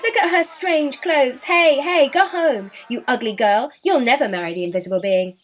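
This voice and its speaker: A woman voicing cruel, mocking villagers in a children's story, loud and taunting with laughter in the voice. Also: high-pitched, normal speed, pitched above the performer's own voice. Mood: mocking, jeering.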